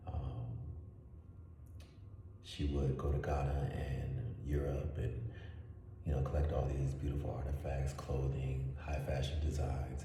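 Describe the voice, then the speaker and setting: A man, an actor, introducing himself in a casual, unhurried way, hesitant, with frequent ums and soft laughs. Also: a bass voice, slight background noise. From Washington DC area, USA. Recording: echoey room, voice far from mic.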